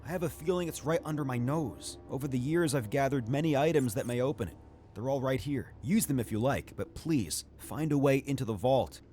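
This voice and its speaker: A man playing an eccentric, age-old alchemist in a video game, speaking in an animated, theatrical way. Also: medium pitch, a little fast, soft background music, natural voice. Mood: earnest, pleading. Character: eccentric, excitable alchemist, kept alive for ages. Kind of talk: video game cutscene acting.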